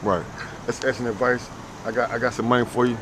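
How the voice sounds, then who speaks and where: A man's voice: medium pitch, fairly even intonation, fast, noisy background. A man running a casual street interview, repeating answers back and stammering through restarts. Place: city street outdoors.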